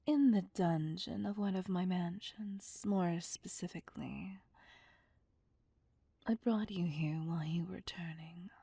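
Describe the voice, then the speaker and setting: A woman's voice: mid-range voice, dark tone, no room sound. A woman playing a seductive, possessive female vampire in a whispered ASMR roleplay, with a teasing, domineering manner. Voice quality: breathy, whispery. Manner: slow, teasing, domineering, purring.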